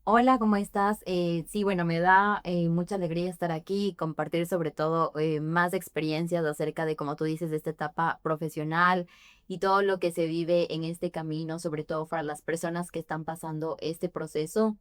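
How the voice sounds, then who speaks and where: A woman's voice: lowish voice, steady tone, normal speed, clear, resonant tone, no echo. A young adult woman from Ecuador, a guest in a podcast interview, answering thoughtfully with frequent hesitations. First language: Spanish. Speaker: immigration forms preparer, guest.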